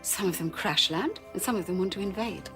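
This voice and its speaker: Wistful, candid heroine played by a woman, medium pitch, drama dialogue over clear music. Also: fairly quick, a bit bright, close to an everyday voice. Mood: confiding. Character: wistful, candid woman who secretly fights aliens.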